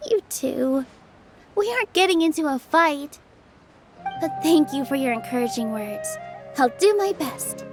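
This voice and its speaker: An actress playing a gentle, earnest young woman, modest but determined and polite, in a video game. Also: sits high, animated, slow pace, pauses often, music in the background, full character voice.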